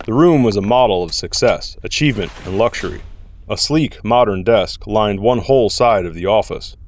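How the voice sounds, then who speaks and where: A man narrates a story in a fairly low voice. His delivery is scripted, descriptive storytelling in the third person. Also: normal speed.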